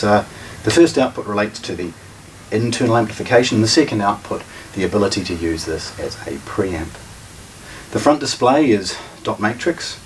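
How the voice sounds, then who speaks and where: A man giving an unscripted product demonstration as a shop presenter, speaking in a measured, methodical way with halting phrasing. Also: lowish voice. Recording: distant mic with faint room noise.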